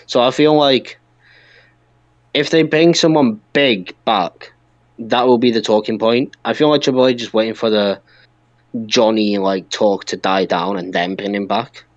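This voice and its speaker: A man's voice: on the low side, measured pace, no room sound, highs cut off. A man co-hosting a podcast, talking casually and informally about wrestling with other hosts. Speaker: podcast co-host.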